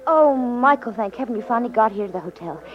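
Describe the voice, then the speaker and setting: An actress playing a nervous, fussing young detective's assistant in a 1950s radio drama. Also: female voice, fairly high pitch, lively intonation, fast, lightly acted. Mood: anxious. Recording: dull 1950s radio recording, noisy backdrop.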